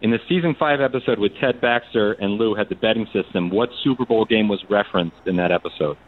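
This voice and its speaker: A man's voice: fairly low pitch, fast. A man calling in to a radio show for casual quizzing chat, eager and tripping over his questions. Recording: muffled phone-in line.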